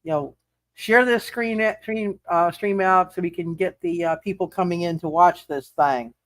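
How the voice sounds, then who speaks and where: Higher-voiced man hosting, halting speech. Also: fairly bright tone, no echo. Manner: halting, repeats words and restarts often. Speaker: game master and host of a roleplay livestream. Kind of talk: casual livestream chat and recap.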